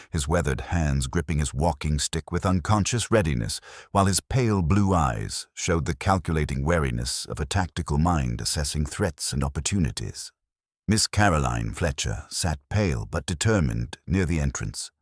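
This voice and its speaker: Very deep-voiced man narrating a mystery. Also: no echo, clean studio sound. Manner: formal, measured storytelling. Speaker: audiobook narrator. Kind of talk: scripted dramatic mystery narration.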